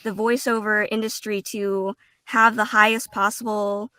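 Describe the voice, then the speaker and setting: A woman in a casual roundtable talk as a voice actor, phrasing her thoughts deliberately and a little haltingly. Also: mid-range voice, little pitch movement, sharp tone, clean tone, dry recording. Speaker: voice actor, panel guest.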